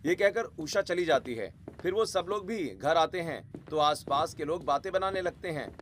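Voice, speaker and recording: A man's voice: fairly high pitch, normal speed, slight background noise. A man narrating a scripted Hindi story.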